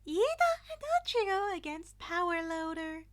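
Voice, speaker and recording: Storybook role: tiny excitable male animal headmaster, played by a woman, very high, loud and cheerful. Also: normal speed. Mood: cheerful, excited. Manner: loud, squeaky, cheerful announcing. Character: excitable small male animal school principal.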